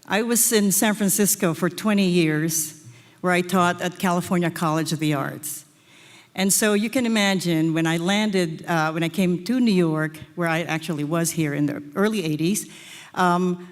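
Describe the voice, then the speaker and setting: A woman, giving a short stage lecture as a design professor and telling personal stories. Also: lowish voice. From Philippines. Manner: storytelling, personal anecdotes. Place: auditorium stage. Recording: reverberant hall.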